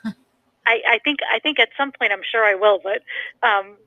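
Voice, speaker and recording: A woman with a Boston accent calls in over a muffled phone line, her voice bright and a little tinny. She talks in a hesitant, halting way, full of ums and little giggles. The sound is dry and close. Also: a little high, dry recording. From Massachusetts. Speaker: caller. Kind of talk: casual call-in chat.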